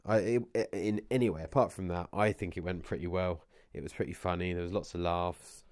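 A man's voice: deep voice, fairly even intonation, fairly quick, darkish tone, no room sound, studio quality. A man vlogging with a cold and a fading voice, chatting casually to the camera and wandering off on tangents. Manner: meandering, with many restarts and asides. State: has a cold, losing his voice. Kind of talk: casual rambling chat to camera.

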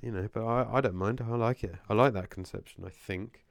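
Deep-voiced man with a cold. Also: fairly quick, gravelly, no room sound. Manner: meandering, with many restarts and asides. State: has a cold, losing his voice. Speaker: vlogger. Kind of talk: casual rambling chat to camera.

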